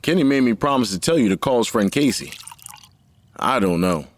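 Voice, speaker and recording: Worried, expressive middle-aged father, played by a man. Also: mid-range voice, no echo, close to an everyday voice. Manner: halting, grave. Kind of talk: audio drama dialogue.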